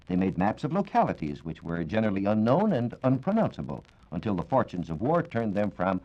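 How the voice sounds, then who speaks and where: Expressive American man on dull 1940s radio. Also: lowish voice. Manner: formal, measured, explanatory. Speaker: science reporter. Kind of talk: 1940s radio science talk, scripted explanatory narration. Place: radio studio. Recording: dull, band-limited 1940s radio recording.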